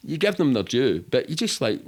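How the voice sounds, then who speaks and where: A man's voice: medium pitch, big pitch swings, fairly quick, a little mellow. A middle-aged man with a Glaswegian accent, telling rambling, sweary, matter-of-fact anecdotes in casual interview chat. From Scotland. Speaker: podcast guest.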